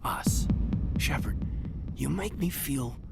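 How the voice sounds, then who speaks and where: An actor playing an earnest, loyal military officer in a video game, tender and dutiful, hushed and hesitant in manner. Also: male voice, mid-range voice, expressive, takes it slowly, gravelly, in a whisper, exaggerated voice. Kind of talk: cinematic video game dialogue.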